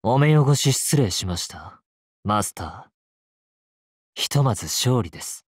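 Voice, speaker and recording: 男性声優がゲームで演じる、悲哀に満ちて丁寧な物言いの、冷徹で詩的な騎士の弓使い。. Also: male voice, mid-range voice, expressive, dry recording, clean studio sound. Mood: gentle, melancholy. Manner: slow, formal, poetic delivery. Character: sorrowful, courtly male knight archer, poetic and coolly merciless. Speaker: voice actor in a mobile game. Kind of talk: theatrical game battle lines. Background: game music.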